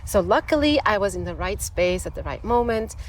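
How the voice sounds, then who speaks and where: A woman from Switzerland, a design professor, telling her story with enthusiasm in a relaxed outdoor interview. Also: medium pitch, fairly quick, slight background noise, rough recording. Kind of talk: conversational interview. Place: outdoors in a desert botanical garden.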